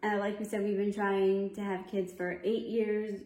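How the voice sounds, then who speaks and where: A woman's voice: mid-range voice, flat delivery, moderate pace, darkish tone. A woman in her thirties chatting casually on a video blog in a friendly, matter-of-fact way. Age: 30s. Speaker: vlog co-host. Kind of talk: casual chat. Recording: echoey room.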